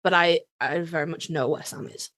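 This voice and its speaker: A woman's voice: low voice, steady tone, a little fast, fairly bright tone, dry recording. Sweary woman podcaster, dry close sound. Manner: sweary, gushing, self-mocking. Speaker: podcast co-host. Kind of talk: casual fan podcast chat.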